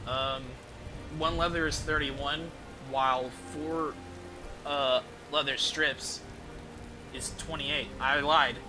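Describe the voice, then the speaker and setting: A man's voice: fairly high pitch, steady tone, slow pace, music in the background, rough recording. A man casually commentating on his video game as a streamer, rambling and thinking aloud with offhand swearing.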